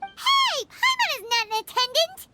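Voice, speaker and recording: A woman voicing a tiny, chirpy, naive fairy companion for an anime video game, with a squeaky childlike voice. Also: very animated, normal speed, pushed and bright, a little hiss or hum, full character voice. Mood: indignant. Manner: refers to self in third person, exclamatory.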